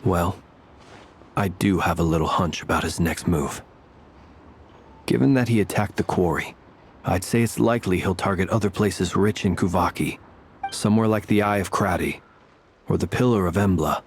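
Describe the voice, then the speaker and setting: Low, serious game strategist. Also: male voice, slight background noise. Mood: resolute. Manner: measured, thoughtful. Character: serious, analytical young male fighter planning strategy. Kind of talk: video game voice acting.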